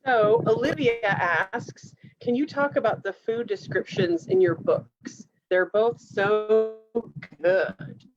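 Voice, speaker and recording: A woman librarian slowly reading out an audience question over a video call. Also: on the high side, animated, highs cut off, over music. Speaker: librarian co-host.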